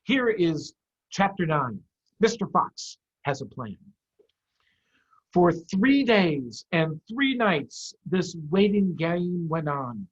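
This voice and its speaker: Animated man reading to children, muffled audio. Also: a little high, slow, husky. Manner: animated, addressing children with simple questions. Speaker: head of school reading aloud to pupils. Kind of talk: children's storytime read-aloud. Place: office. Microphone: video call. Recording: dull, lo-fi video-call audio.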